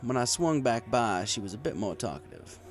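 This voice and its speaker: A man's voice: mid-range voice, big pitch swings, normal speed. A man reading a story aloud in the first person as a bartender narrator. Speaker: audiobook reader. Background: music.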